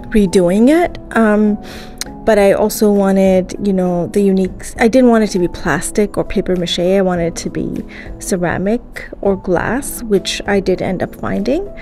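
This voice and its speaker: A woman's voice: mid-range voice, warm tone. Woman, 30s, casual vlogger, under the weather, talks in loose rambling asides. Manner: chatty, rambling with fillers. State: sick, voice strained. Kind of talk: casual thrift vlog. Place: parked car, daytime. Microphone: phone at arm's length.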